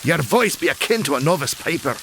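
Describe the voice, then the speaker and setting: A man playing a gruff, superstitious Scottish cab driver in a comedy audio drama, with broad dialect and a gravelly voice. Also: fairly high pitch, lively intonation, brisk, very noisy. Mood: blunt. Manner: broad dialect, ominous warnings.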